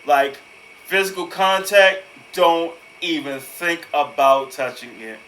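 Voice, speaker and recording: A man's voice: on the high side, slow, fairly bright tone, a little hiss or hum. A man giving casual, emphatic reaction commentary, repeating words for effect. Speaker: host reacting to a horror video.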